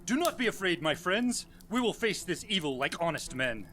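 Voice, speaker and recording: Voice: medium pitch, sing-song, moderate pace, a bit bright. Actor doing a brave cocky adventurer captain, big swoopy declamatory lines, radio play music behind. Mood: bravely polite. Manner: grandiose, declamatory, heroic. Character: brave, blustering male sea captain adventurer, cheerfully overconfident. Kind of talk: theatrical audio drama acting.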